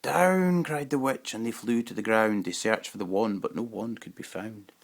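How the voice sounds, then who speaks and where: A man giving an expressive, dramatic reading of a rhyming children's picture book. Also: lowish voice, normal speed. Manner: dramatic, rhythmic rhyming delivery. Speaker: storybook reader.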